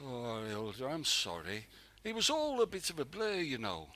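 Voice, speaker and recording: A man's voice: medium pitch, wildly swooping pitch, moderate pace, pushed and bright. A man playing a blunt, impatient, injured wartime patrolman who grumbles in colloquial speech in an amateur radio play. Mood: subdued, sympathetic. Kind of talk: amateur radio drama acting.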